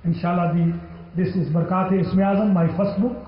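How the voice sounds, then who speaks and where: A man's voice: high-pitched, level tone, moderate pace, a little hiss or hum. A middle-aged man with a Pakistani accent, giving an earnest religious lecture in a mosque and repeating key phrases to press his point. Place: mosque hall. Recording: dull echoey hall recording.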